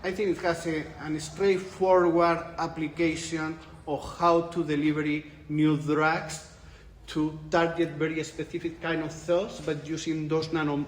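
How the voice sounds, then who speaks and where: A man's voice: a little high, little pitch movement, a little slow, slight background noise. Spanish-accented man, echoey conference room. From Spain. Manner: thoughtful, restarts phrases, laughs lightly. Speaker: interviewed researcher. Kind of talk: conference interview. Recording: echoey room with light ambience.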